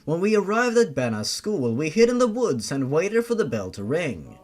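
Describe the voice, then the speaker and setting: A man's voice: on the high side, sing-song, normal speed, darkish tone. A man giving a scripted first-person narration of a fan-fiction style story.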